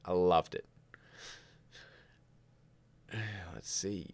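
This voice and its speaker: A man's voice: low voice, extremely slow, creaky, a little mellow, clean tone, dry recording. A man hosting a live stream, chatting casually and rambling through questions with candid opinions and jokey asides. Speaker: livestream host of a filmmaking show.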